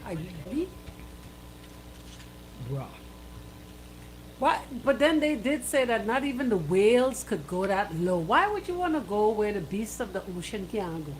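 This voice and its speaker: A woman's voice: medium pitch, big pitch swings, unhurried, long pauses, a little hiss or hum, low-quality recording. A woman with a Bahamian accent co-hosting a radio show, chatting casually and questioning things in an incredulous way. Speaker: radio co-host.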